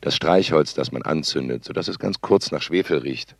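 A low-voiced, eccentric sound artist for a radio play. He sets down his words in deliberate, clipped fragments. It falls between a tape catalogue and a spoken poem. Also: gravelly, no room sound, heavily acted. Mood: tender, poetic. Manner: deliberate, terse, fragmentary phrases. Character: eccentric male sound artist, cold commentator and tender poet.

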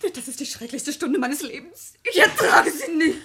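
High-voiced distraught daughter, noisy recording. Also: female voice, expressive, normal speed, sharp tone, exaggerated voice. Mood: distraught, tearful. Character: distraught, remorseful daughter, fragile and tearful. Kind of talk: classic German radio drama acting.

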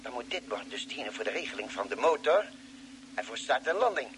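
An actor playing a level-headed, commanding doctor aboard a spaceship in a Dutch radio drama. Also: male voice, mid-range voice, big pitch swings, brisk, a bit bright. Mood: calm, focused. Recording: noisy old radio drama recording.

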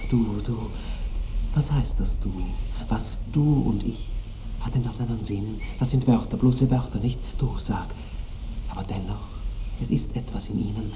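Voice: medium pitch, measured pace, natural voice. An actor playing an ardent seventeen-year-old count in a radio drama, rhapsodic and intimate, often sinking toward a whisper. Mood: yearning, rhapsodic. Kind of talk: 1960s German radio drama acting. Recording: dull, noisy old radio recording.

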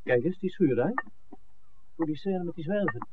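A man's voice: a little high, expressive, normal speed, close to an everyday voice. An actor playing a questioning, discreet film man in a 1960s radio play, with an understated way of talking. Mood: sceptical. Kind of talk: 1960s radio drama acting. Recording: muffled old radio broadcast, faint hiss.

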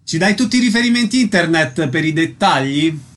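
A man speaks as a radio host and interviewer. His intonation is animated and moves widely as he introduces items and asks questions. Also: on the high side, a little fast.

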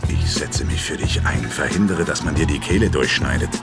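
A man's voice: extremely low pitch, expressive, moderate pace, vocal fry, heavily acted. A man playing a cold, menacing, scornful criminal fixer in a German radio drama. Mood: cold anger. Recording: music behind the voice.

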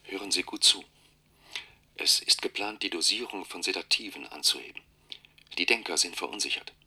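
An actor playing a secretive informant in a German radio drama, terse and conspiratorial, with a husky, forceful voice. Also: male voice, sits low, normal speed, heavily acted. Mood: urgent, secretive. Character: secretive male informant passing on grave warnings.

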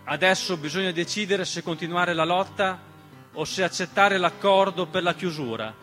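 A man performing a dramatic political theatre monologue in an emphatic, rhetorical manner with deliberate repetition. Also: high voice, pushed and bright. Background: piano accompaniment.

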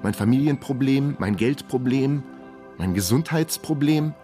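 A man's voice: medium pitch, animated, unhurried, warm tone, faint music behind, natural voice. An actor playing a wry, broke ex-detective in his forties, narrating a radio crime drama in a laconic, self-mocking way. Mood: wary. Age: 40s.

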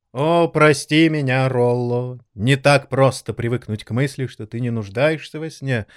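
A man plays an elderly maestro and music teacher in an audio drama. His mid-pitched voice swings widely and theatrically, florid and excitable, with an indulgent, condescending affection. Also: natural voice.

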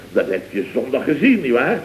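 An actor playing an excitable, self-important boss of a small building firm in a vintage radio comedy, blustery and exclamatory. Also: male voice, mid-range voice. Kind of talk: 1970s radio comedy acting. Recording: muffled, noisy, echoey vintage radio recording.

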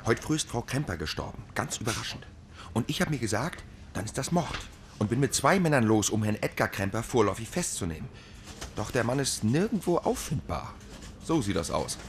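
A man's voice: medium pitch, very animated, fairly quick. A dry police subordinate in a radio play, over faint noise. His report is matter-of-fact and a little reproachful, though the intonation moves a lot. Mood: dry, urgent. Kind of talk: naturalistic radio drama acting.

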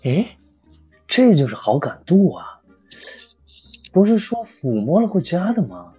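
A woman's voice: lowish voice, sing-song, a little slow, warm tone, husky, dry recording, muffled recording, soft background music, full character voice. A woman playing a playful, easily amused gamer in an audio drama, musing aloud and teasing. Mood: curious, puzzled. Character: playful, easily amused mobile game player.

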